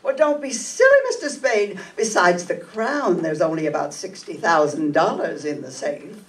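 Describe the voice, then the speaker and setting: An older woman playing a bossy, excitable rich society hostess in old-time radio style, fussy and dramatic. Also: fairly low pitch, moderate pace, close to an everyday voice. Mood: breezy, commanding. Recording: distant room microphone.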